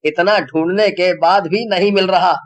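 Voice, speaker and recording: A man voicing a cheeky, party-loving young man with a drawn-out cartoon delivery. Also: high-pitched, steady tone, close to an everyday voice.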